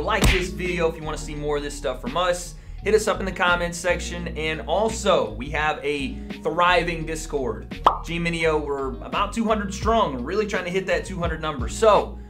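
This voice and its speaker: A young man hosting a gaming reaction video from an indoor studio room, talking in an energetic, chatty way with many fillers. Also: fairly high pitch. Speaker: host. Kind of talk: excited reaction commentary.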